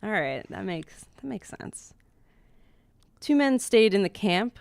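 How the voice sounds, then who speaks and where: A woman's voice: mid-range voice, lively intonation, slow pace, vocal fry, no echo. A woman guest on a comedy podcast, reading scripture aloud with playful drama and chatting in between. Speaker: guest reader.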